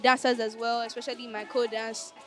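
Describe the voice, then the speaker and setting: A woman's voice: fairly high pitch, level tone, a little fast, a bit bright. A young woman with a Nigerian accent speaking informally as a student dancer in an interview, often dropping in "like". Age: teenager or young adult. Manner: conversational, frequent "like". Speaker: student dancer in a school play. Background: music.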